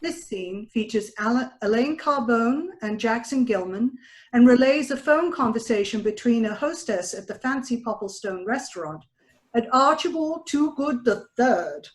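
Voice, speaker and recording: A woman's voice: fairly high pitch, animated, moderate pace. A woman presenting a program, reading a scripted introduction. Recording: roomy online video call sound.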